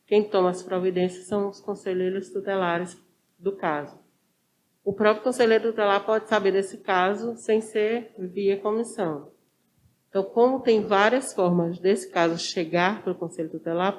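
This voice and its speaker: A woman's voice: mid-range voice, darkish tone, slight background noise. A woman with a Brazilian accent answering as an interview guest, conversational and didactic, repeating her key points. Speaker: social worker, interview guest. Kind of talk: informal explanatory interview.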